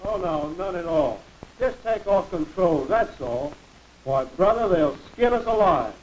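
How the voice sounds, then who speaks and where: A man's voice: high-pitched, expressive, a little slow, dull audio. An actor playing a combative, argumentative American man in a 1940s educational film, on an old, faintly noisy soundtrack. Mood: scornful.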